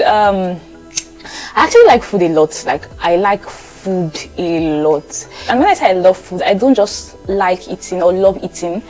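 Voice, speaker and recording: A young Nigerian woman talks casually to her channel's viewers in a slightly low voice. She repeats phrases for emphasis as she shares things about herself. Faint music plays along with her. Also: a little mellow, low-quality recording. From Lagos, Nigeria. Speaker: vlogger.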